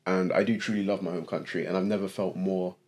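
Young man, lowish voice, teasing casual podcast co-host. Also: fairly even intonation, fast talker. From Ghana. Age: young adult. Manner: playful, teasing, loud bursts of mock outrage. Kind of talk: casual banter.

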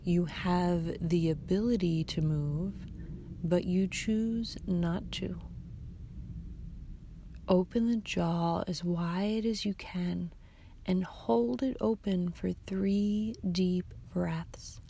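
A woman guiding a meditation in a soft, soothing, hushed voice that turns breathy at times, with unhurried instructions and long pauses. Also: on the low side, slight background noise. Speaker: yoga nidra guide, host of the episodes. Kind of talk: guided meditation narration.

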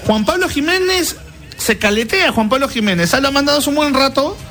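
A man with a high voice hosts a casual radio show. He chats loosely, circles back over his own words and tacks questions onto his phrases, with music clearly playing underneath. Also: expressive, brisk, fairly bright tone. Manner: chatty, repeats himself, tags phrases with questions. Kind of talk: casual chat. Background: music bed.

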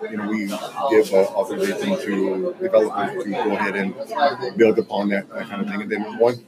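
A middle-aged man from Los Angeles answering interview questions casually, in a halting, informal, rambling way. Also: lowish voice, level tone, low-quality recording. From Los Angeles area, United States. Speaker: interview guest, realtor. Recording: loud crowd chatter behind.

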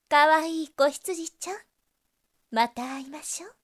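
Slow, high-voiced seductive succubus. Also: female voice. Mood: teasing, enticing. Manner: slow, coaxing, flirtatious, doting. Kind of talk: Japanese situation voice drama acting.

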